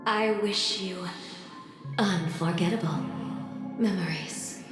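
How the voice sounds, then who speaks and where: Slow, silky villainess in heavy echo. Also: female voice, on the low side. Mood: silky menace. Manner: languid, theatrical, coolly polite. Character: mysterious, haughty young woman villain, silkily menacing. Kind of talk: dramatic video game voice acting. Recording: heavy echo, music underneath.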